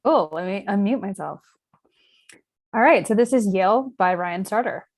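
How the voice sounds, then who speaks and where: Woman, medium pitch, scripted narration, reading stage directions at a table read. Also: expressive, normal speed.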